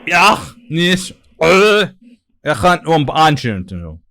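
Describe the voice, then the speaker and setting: Man putting on an excitable high-voiced babbling foreign guest. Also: a little hiss or hum, heavily acted. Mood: agitated babbling. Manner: babbling, halting, interjection-filled. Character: agitated foreign male hotel guest, babbling complaints. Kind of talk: prank call character.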